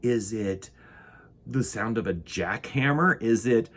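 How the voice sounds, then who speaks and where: A man hosting a children's storytime, reading a picture book aloud in an expressive, theatrical and playful way. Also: on the low side, slow. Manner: expressive, dramatic, playful with sound words.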